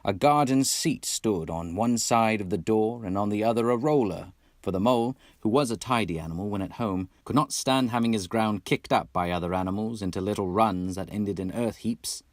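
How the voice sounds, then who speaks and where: A man's voice: fairly low pitch, normal speed, few pauses, dry recording, studio quality. A man from Texas reading a classic storybook expressively as narrator, in a put-on British accent. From Texas, United States. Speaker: amateur audiobook reader.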